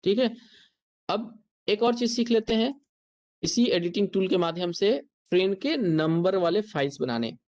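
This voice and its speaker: An instructor with an Indian accent giving a software tutorial lecture, explaining step by step and repeating points. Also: medium pitch, moderate pace.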